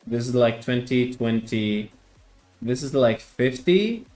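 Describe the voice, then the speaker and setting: A man's voice: medium pitch, expressive, takes it slowly, a little mellow, faint music behind. A man from Greece streaming a strategy game, chatting casually with viewers and often repeating his words as he thinks. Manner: hesitant, repeats words, answers chat. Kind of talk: casual live-stream chat.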